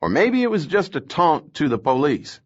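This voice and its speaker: A man's voice: fairly high pitch, sing-song, moderate pace, voice pushed up. A man doing a grumbling, sleepy male police lieutenant. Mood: grimly talkative. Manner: slurred, grumbling, exasperated. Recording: slightly dull lo-fi audio.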